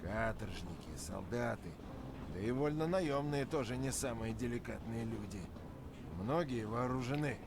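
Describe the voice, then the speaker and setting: An actor playing a cautious local officer of old Siberia in a visual novel game, explaining things formally. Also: male voice, mid-range voice, lively intonation, moderate pace, noisy background, lightly acted. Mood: grave warning.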